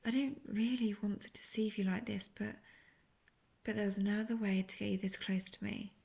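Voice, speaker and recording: A woman playing a manipulative, seductive imprisoned witch in a fantasy audio roleplay, speaking intimately in a breathy near-whisper. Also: medium pitch, steady tone, normal speed, mellow. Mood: smug, taunting.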